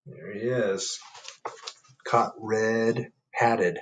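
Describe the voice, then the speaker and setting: A man's voice: lowish voice, extremely slow, a little hiss or hum. A man in his fifties doing a picture-book read-aloud, with casual asides and questions to the children listening. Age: 50s. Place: book-lined room at home.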